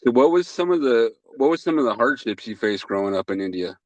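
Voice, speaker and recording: A man's voice: mid-range voice, expressive, quick pace, darkish tone, no room sound, dull audio. A man interviewing a guest, giving brief encouraging responses and asking follow-up questions. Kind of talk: casual conversational interview.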